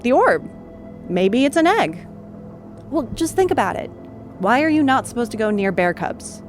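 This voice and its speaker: A woman's voice: fairly high pitch, big pitch swings, normal speed, soft background music. An actress playing a skeptical, teasing young woman in an audio drama, speaking in a dry, doubtful manner.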